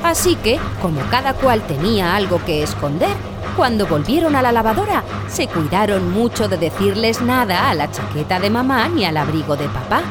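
A woman's voice: mid-range voice, sing-song, moderate pace, over music. A woman narrator telling a children's audio story in a scripted storytelling style.

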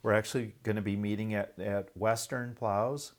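A man's voice: on the low side, steady tone, moderate pace. A man hosting a podcast, promoting industry events in a casual, enthusiastic and chatty way.

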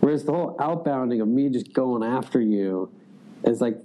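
A man's voice: medium pitch, normal speed, warm tone, slight background noise, poor audio. A man in a casual interview, speaking loosely, with lots of "like" and restarts. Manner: loose, filler-heavy, frequent "like". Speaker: sales executive guest.

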